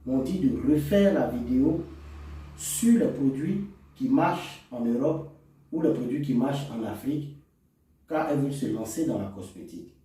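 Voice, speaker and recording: Man in a very echoey room, mellow voice. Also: medium pitch, lively intonation, normal speed. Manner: didactic, repeats and enumerates points. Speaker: cosmetics formulator and seller presenting to resellers. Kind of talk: instructional product talk to camera. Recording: very echoey room, distant microphone.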